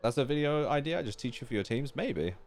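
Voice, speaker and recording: A man talks casually while streaming a game, his intonation lively and wide-ranging. He thinks aloud and keeps turning to his viewers with questions. Faint game music plays behind him. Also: mid-range voice, a little fast.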